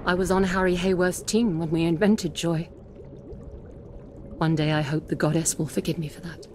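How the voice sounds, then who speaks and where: A wise witch played by a woman in a video game, speaking low and measured. She sounds knowing, calm and a little rueful, like a former chemist who has seen much. Clear ambience runs behind her. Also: slight background noise, lightly acted. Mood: confiding. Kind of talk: video game cutscene acting.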